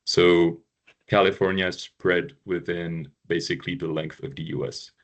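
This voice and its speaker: A man's voice: low voice, fairly even intonation, normal speed, fairly bright tone. A man from Quebec with a French accent, giving an informative webinar presentation with frequent ums and uhs. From Quebec, Canada. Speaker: trade consultant presenting.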